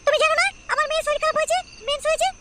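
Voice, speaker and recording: A woman voicing a scolding middle-aged Bengali mother-in-law in a comedy cartoon, shrill and repetitive. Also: fairly even intonation, very fast, heavy background noise.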